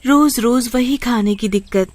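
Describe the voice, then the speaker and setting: Woman acting a high, concerned younger sister. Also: normal speed, a little mellow, dry recording, close to an everyday voice. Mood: worried.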